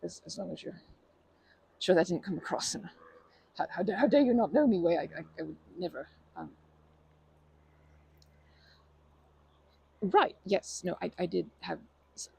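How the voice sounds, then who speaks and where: A timid, kind stranger played by a performer for an ASMR roleplay. The voice is husky and breathy, heavily creaky, and sits at a middling pitch. It stumbles over words and apologizes anxiously, wary of intruding. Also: lively intonation, warm tone, dry recording, exaggerated voice, poor audio. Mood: nervous, flustered. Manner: halting, stammering, over-apologetic, nervous laughter. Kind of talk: ASMR roleplay, improvised-sounding monologue to listener.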